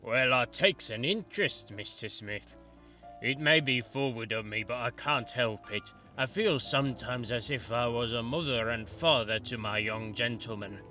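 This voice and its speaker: Man playing a gossipy old manservant, deferential, homely grammar, bright timbre, faint music behind. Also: medium pitch, normal speed, natural voice. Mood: worried. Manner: deferential, rustic grammar, says sir a lot. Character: old male college manservant, fussy and gossipy.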